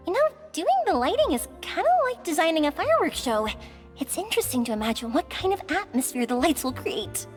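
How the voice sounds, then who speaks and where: A woman voicing a bubbly, enthusiastic young fireworks maker in an anime-style video game, perky, friendly and expressive. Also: high voice, full character voice. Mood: friendly, musing.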